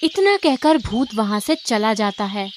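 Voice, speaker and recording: A woman's voice: a little high, very animated, unhurried, noisy background. A woman reading scripted story narration.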